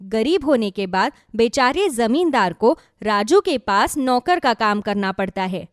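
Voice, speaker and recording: High-pitched woman narrating a moral story. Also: lively intonation, a little slow, no echo, clean studio sound.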